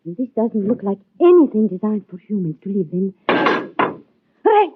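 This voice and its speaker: A woman's voice: mid-range voice, very animated. An actress playing a shy, anxious Soviet rocket pilot in her twenties, speaking hesitantly in a radio drama. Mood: frightened. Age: 20s. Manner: hesitant, halting, stammering. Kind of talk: 1950s radio drama acting. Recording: noisy, phone-like 1950s radio recording.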